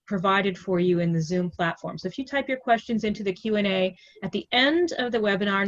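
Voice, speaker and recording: A woman's voice: mid-range voice, dull audio. A woman hosting and introducing a webinar over a video call, making announcements in a chatty way with frequent ums and uhs. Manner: chatty, filler-heavy, friendly announcements. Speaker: webinar host.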